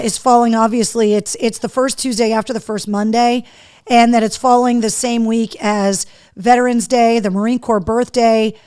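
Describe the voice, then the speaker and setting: A woman hosting a live show in casual chat, her voice hoarse, chatty and loose. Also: fairly high pitch, a little mellow. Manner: chatty, talks to her dog between remarks. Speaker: host.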